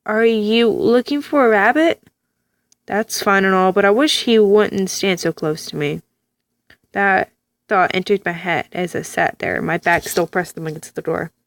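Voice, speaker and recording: A woman reading a story game aloud, with animated reactions and stammered asides. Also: mid-range voice, a little slow, dry recording. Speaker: let's play reader.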